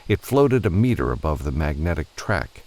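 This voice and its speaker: A man reads fiction as an audiobook narrator. His voice is deep, with a creaky, gravelly edge. The storytelling is expressive, with animated rises and falls in pitch. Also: normal speed.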